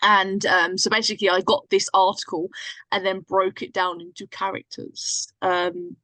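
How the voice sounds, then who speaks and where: A woman's voice: mid-range voice, level tone, moderate pace, sharp tone, no room sound, low-quality recording. A woman chatting casually as a guest writer and actress, explaining things informally. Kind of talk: casual chat.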